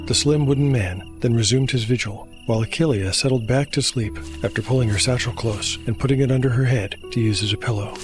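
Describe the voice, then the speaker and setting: A man narrating a scripted fantasy tale as the storyteller and game master. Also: medium pitch. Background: music bed.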